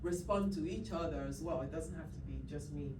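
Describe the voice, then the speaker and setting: A woman's voice: deep voice, fairly quick, distant mic. A woman giving a conference breakout talk, speaking conversationally with restarts as she thinks aloud. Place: conference room. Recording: roomy sound from off-mic with clear background noise.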